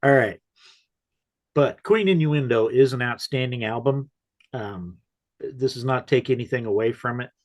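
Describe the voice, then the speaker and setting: A man's voice: medium pitch, normal speed, pauses often. A man co-hosting a casual music chat, tired from no sleep, with dry, self-mocking humor. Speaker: co-host.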